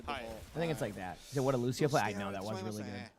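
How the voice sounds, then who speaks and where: A man streaming live and chatting casually with his viewers while watching a match, rambling with lots of fillers, his voice going a little hoarse. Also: fairly low pitch, quick pace, a crowd in the background. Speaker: game streamer.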